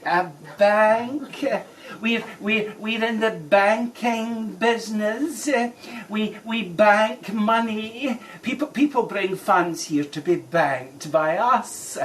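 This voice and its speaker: A flustered bank manager played by a woman in a stage comedy, speaking slowly with stammering, fussy exasperation and growing agitation. The voice is picked up from a distance with faint noise behind it. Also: mid-range voice, lightly acted. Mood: strained politeness. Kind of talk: broad comic stage acting.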